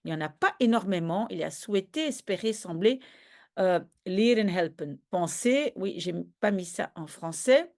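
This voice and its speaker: Lively woman teaching step by step. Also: medium pitch, normal speed. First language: Dutch. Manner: patient, step-by-step, checks understanding often. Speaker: language teacher. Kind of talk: explaining grammar in a video lesson.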